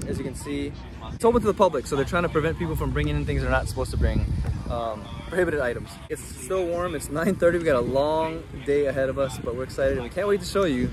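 Upbeat man vlogging at an air show. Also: on the high side. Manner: upbeat and chatty, talking to camera. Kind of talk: casual vlog narration. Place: outdoors on an airfield. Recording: music playing clearly behind.

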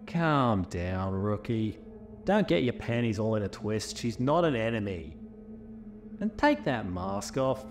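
Gruff male mentor agent for pony audio drama, played by a man. Lowish pitch, curt and scornful, music underneath. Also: big pitch swings, measured pace. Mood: stern.

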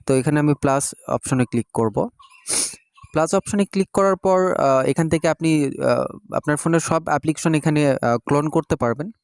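A young man hosting a screen-recorded phone app tutorial, talking slowly and hesitantly with drawn-out fillers. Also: fairly high pitch, vocal fry, a little mellow, clean tone, no echo. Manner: hesitant, many drawn-out fillers while clicking. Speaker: tech tutorial host.

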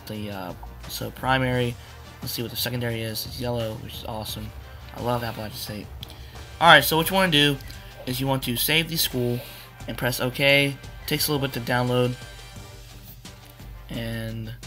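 A young man with a hoarse, cracking voice, rambling casually through a video game walkthrough with many fillers. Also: medium pitch, steady tone, moderate pace, sharp tone, faint music behind. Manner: rambling, repeats "you wanna" with fillers. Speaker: gaming tutorial maker. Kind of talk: casual screen-capture walkthrough.

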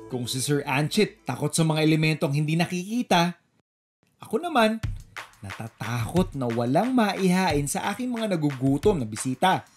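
A woman's voice: low-pitched, lively intonation, normal speed, darkish tone. A woman with a Filipino accent reading a scripted voice-over narration for a show. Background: light background music.

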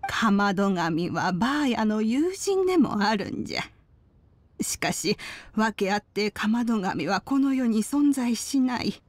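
A woman's voice: medium pitch, sing-song, measured pace, dry recording. An actress playing a wise, kindly old grandmother in a video game, with archaic phrasing and a nostalgic air. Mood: grave, knowing. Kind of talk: video game character dialogue. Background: background music.

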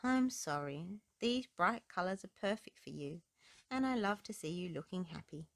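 Woman reading as a creaky fatherly king. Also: fairly low pitch, lively intonation, normal speed, no room sound, studio quality. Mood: softened, apologetic. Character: stubborn but loving king and father.

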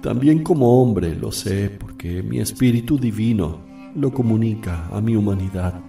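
An actor playing an anguished, solemn man praying sorrowfully to his divine Father in a radio drama, with long pauses. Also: fairly low pitch, animated, creaky. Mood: sorrowful, pleading. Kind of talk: radio drama acting, slow prayerful monologue. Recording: distant, roomy, with loud music behind.

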